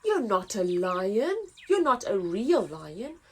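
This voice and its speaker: A woman's voice: medium pitch, very animated, slow, husky, full character voice. A woman voicing a majestic, dismissive lion with an emphatic, haughty manner, in a children's storybook reading.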